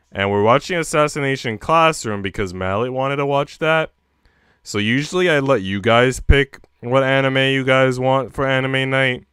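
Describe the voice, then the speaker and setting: A man's voice: mid-range voice, a bit bright, smooth, no room sound. A young man hosting a livestream, speaking informally into a close mic. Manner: slangy, swearing, informal. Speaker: livestream host. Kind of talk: casual livestream chat.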